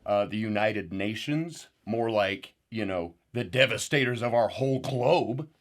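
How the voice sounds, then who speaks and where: An actor playing a rambling paranoid uncle who is a guest on a conspiracy show, with sudden excitable outbursts. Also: male voice, fairly low pitch, measured pace. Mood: rambling indignant. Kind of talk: deadpan parody talk show.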